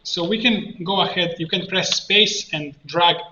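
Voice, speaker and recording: A man giving an unscripted screen-share software tutorial, explaining step by step in a halting way. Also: sits high. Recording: muffled roomy mic with faint noise.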